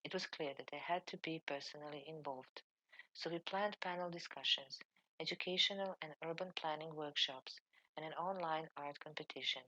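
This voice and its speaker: Low-voiced woman reading a formal talk. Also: normal speed, pushed and bright, muffled recording. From Serbia. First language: Serbian. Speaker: librarian presenter. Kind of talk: read conference presentation.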